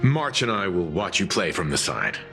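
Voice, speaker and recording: Deep, expressive male companion. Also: normal speed, creaky, full character voice. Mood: declining, easygoing. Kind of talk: scripted game character dialogue. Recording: loud music behind the voice.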